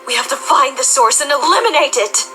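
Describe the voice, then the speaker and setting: A woman's voice: high voice, brisk, sharp tone, faint music behind. A woman playing an anxious, haunted young princess pony in an audio drama, speaking with urgent, dramatic emphasis.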